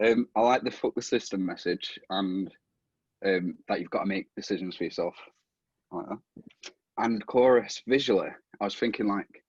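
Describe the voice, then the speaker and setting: Man, husky, casual, halting, thinking aloud, muffled video call audio. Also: fairly low pitch, no room sound. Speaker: audience member giving feedback. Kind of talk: casual spoken feedback.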